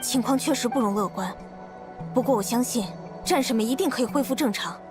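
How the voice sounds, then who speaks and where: An actress playing a confident, decisive leader in an anime game, with a brisk, commanding manner. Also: female voice, a little high, music in the background, close to an everyday voice. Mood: resolute. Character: confident, decisive female leader rallying her people. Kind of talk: scripted anime game voice acting.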